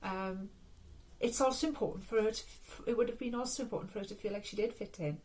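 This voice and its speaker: A woman's voice: mid-range voice, quick pace, darkish tone, rough recording. A woman with a Welsh accent, giving an earnest, rambling spiritual reading in a casual, reflective way. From Wales. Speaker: spiritual reader. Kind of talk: casual spiritual talk to camera.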